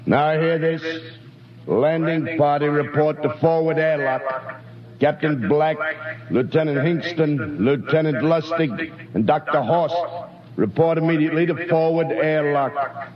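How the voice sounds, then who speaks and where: A man's voice: a little high, unhurried, natural voice. A man playing a formal intercom officer. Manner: formal, announcing. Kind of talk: 1950s radio drama acting. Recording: lo-fi 1950s radio broadcast with crowd noise.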